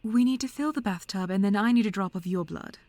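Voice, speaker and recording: A woman's voice: medium pitch, darkish tone, no echo, lightly acted. A woman playing a teasing, mysterious mentor. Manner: measured, teasing, drops to whispers. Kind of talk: fan audio drama acting.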